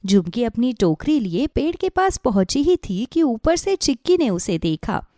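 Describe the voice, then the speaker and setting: A woman narrating a children's story from a script in an expressive storytelling manner. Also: fairly high pitch, normal speed, no echo, studio quality.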